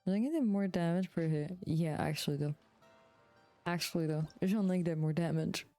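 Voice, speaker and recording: Lower-pitched, mellow woman streaming a game. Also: moderate pace, soft background music. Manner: informal, slangy, swearing. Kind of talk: casual gaming stream chat with viewers.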